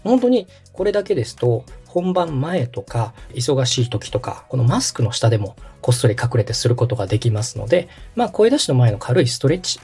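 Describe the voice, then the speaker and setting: A man in his thirties hosts as a friendly instructor, explaining exercises step by step with encouragement. His voice is slightly rough and moves animatedly up and down. Soft music plays faintly underneath. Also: mid-range voice, sing-song, a little fast, hardly any pauses. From Osaka, Japan. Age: 30s. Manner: encouraging, polite. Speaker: podcast host and radio director coaching vocal warm-ups.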